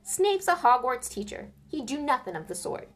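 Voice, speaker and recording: A woman voicing a big, gruff half-giant gamekeeper who gets hot-tempered and defensive, in an audiobook reading. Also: sits high, very animated, moderate pace, pitched above the performer's own voice. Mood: gruff, heated. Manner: gruff, hot-tempered, country-folk speech.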